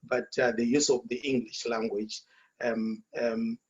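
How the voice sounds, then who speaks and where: Halting Zimbabwean man, Shona native speaker. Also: medium pitch, level tone, darkish tone, highs cut off. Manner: halting, many fillers and repeated words. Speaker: author. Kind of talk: speaking at an online launch. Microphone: video call.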